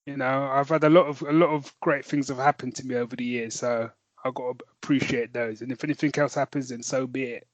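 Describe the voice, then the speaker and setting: A man's voice: mid-range voice, fairly even intonation, a little fast, few pauses, no echo. A man co-hosting a podcast in casual chat, rambling and correcting himself, laughing easily. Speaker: podcast co-host and novelist.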